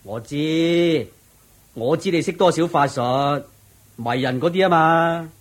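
A man's voice: a little high, takes it slowly, noisy background. A man playing an exasperated, henpecked merchant in a radio drama, pleading and placating in Hong Kong Cantonese. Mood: dismayed. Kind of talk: theatrical radio drama acting.

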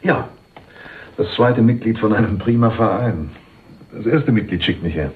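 An actor playing a sly, cynical go-between with a wry, teasing way of talking in a German radio crime drama. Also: male voice, on the low side, normal speed, slightly rough. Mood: cheeky, polite. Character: cynical, sly male go-between, cheekily flirtatious. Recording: muffled, phone-like 1980s radio recording.

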